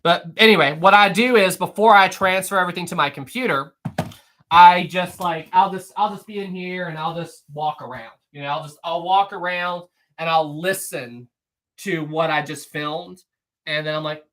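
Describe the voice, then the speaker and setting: A man hosting a casual live stream, answering viewer messages in an animated, rambling way with frequent fillers, restarts and laughs. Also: high-pitched, normal speed. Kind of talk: casual live-stream chat reading super chats.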